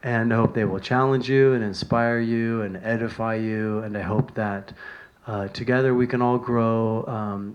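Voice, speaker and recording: A man from San Francisco giving a self-recorded talk from notes, earnest and halting, with many ums and uhs. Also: on the low side. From San Francisco, California. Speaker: video lecturer on spiritual writings. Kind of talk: casual talk from bullet notes.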